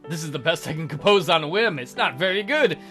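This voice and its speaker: A man voicing a hesitant, lonely man in a visual novel, speaking in a halting, earnest way. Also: on the high side, expressive, a bit bright, soft background music. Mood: awkward. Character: hesitant, lonely man waking in a dark void.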